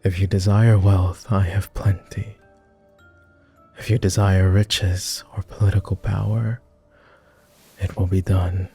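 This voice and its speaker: A man playing a lonely, gentle vampire who speaks formally and haltingly in an intimate ASMR roleplay. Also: low voice, little pitch movement, faint music behind. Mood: earnest, wistful. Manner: formal, old-fashioned wording with halting, hushed delivery. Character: clingy lonely male vampire, courtly, gentle, easily flustered.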